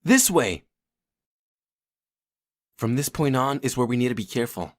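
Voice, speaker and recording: A man's voice: medium pitch, expressive, moderate pace, natural voice. A man playing a streetwise young survivor who guides a newcomer in a dubbed comic drama. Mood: urgent, cautioning.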